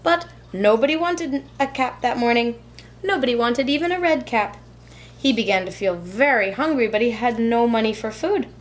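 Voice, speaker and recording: A woman preschool teacher reading a children's storybook aloud in an expressive, deliberate, rhythmic storytelling way. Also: fairly high pitch.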